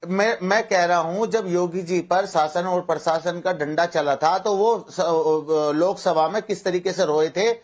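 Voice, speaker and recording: High-pitched, indignant male debate panelist. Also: fairly even intonation, unhurried, few pauses. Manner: indignant, combative, talks over others. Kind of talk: heated argument on a TV debate.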